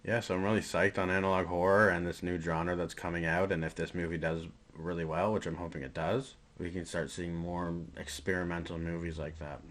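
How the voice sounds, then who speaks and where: Here is a man with a low, deep voice in a relaxed film discussion. He co-hosts a podcast and talks with real enthusiasm. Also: fairly quick, few pauses. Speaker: podcast co-host.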